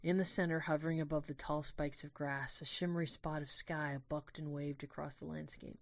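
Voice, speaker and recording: A woman's voice: low-pitched, fairly even intonation, normal speed, gravelly, no room sound. A woman reading scripted first-person story narration as the narrator of an audio drama.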